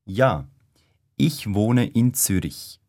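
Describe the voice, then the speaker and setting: A man giving measured, deliberate answers in a scripted language-course dialogue. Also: on the low side, expressive, warm tone, no echo, clean studio sound.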